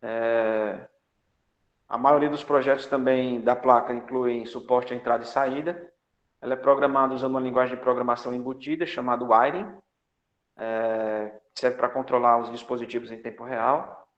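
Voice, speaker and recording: A man's voice: mid-range voice, steady tone, normal speed. A male computer architecture lecturer, teaching an online class in a chatty style, full of fillers and tag questions. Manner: jokey asides. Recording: echoey, dull lo-fi lecture audio.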